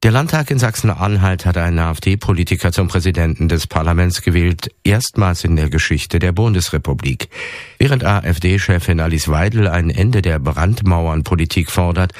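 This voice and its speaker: A man reading the news bulletin on a regional radio station in a measured, formal way. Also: deep voice, darkish tone, no echo, studio quality. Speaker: radio newsreader. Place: radio studio.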